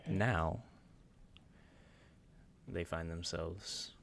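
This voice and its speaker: A man running a tabletop game as dungeon master, narrating in a deliberate, measured way with long pauses. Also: low voice, vocal fry, background noise.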